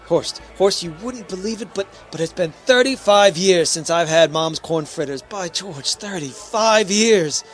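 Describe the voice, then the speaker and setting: Radio drama: man as earnest spaceship captain, fairly high male voice, emphatic, commanding, sentimental. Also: expressive, measured pace, few pauses, faint music behind. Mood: eager.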